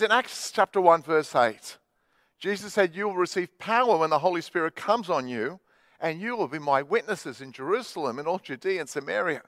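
A high-pitched man preaching as a Baptist pastor. He tells stories in a relaxed, chatty way with plenty of "uh"s, his voice very close and dry with no room sound. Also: no room sound. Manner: chatty storytelling with many fillers. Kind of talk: sermon. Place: church livestream.

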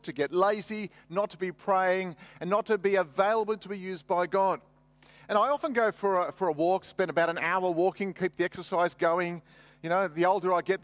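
A man's voice: high-pitched, no room sound. A middle-aged man preaching as a pastor, conversational and emphatic on key phrases. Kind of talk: sermon with personal anecdotes.

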